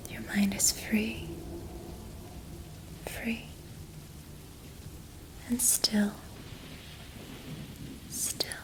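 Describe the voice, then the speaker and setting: A young woman guides an ASMR relaxation in a soft, breathy whisper. She speaks very slowly and evenly, and her voice sits a little high, in a flat, hushed tone. She repeats phrases softly and steadily. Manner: soft unvoiced whisper, slow repetitive soothing phrasing. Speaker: ASMR creator.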